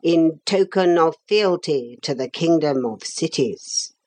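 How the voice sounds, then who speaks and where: A woman's voice: deep voice, lively intonation, slow pace, darkish tone, full character voice. A woman voicing a solemn, formal male storybook leader with cold, pompous dignity. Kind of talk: storybook character voice.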